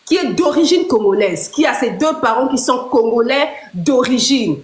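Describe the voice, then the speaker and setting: A woman's voice: fairly high pitch, lively intonation, normal speed. A woman hosting a live debate, firmly cutting in and explaining her point step by step. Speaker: live show host. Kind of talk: moderating a heated debate. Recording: roomy echo.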